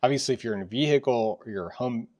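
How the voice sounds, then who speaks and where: A man's voice: mid-range voice, quick pace, darkish tone. A middle-aged man hosting a casual product review in a workshop, conversational and often restating his points. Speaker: host of a product review channel. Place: indoors in a workshop.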